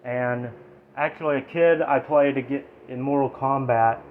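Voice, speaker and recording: A man in his twenties speaks in a nasal voice, with a halting, rambling delivery broken into short fragments. He sounds like a casual vlogger talking to his viewers. The audio is very thin and phone-like, with faint noise behind him. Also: medium pitch, fairly even intonation. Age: 20s. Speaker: YouTube vlogger reviewing products. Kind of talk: casual chat to camera, informal product review.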